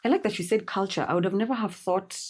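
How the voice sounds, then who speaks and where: A woman's voice: mid-range voice, fast talker, a little mellow. A woman in her thirties who hosts a roundtable and guides the conversation in casual chat. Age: 30s. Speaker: host.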